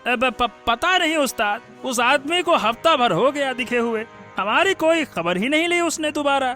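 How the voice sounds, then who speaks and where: A man playing a timid, deferential bandit henchman in an animated folk tale. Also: very high-pitched, moderate pace, soft background music, heavily acted. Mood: uncertain. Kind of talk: animated Hindi moral-story voice acting.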